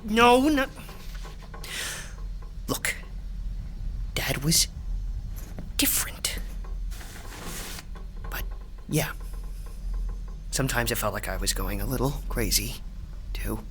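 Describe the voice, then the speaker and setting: A man's voice: medium pitch, wildly swooping pitch, takes it slowly, long pauses, fairly bright tone, a little hiss or hum, full character voice. An actor playing an earnest, obsessive young man in an audio drama, hopeful but defensive. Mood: earnest, uneasy.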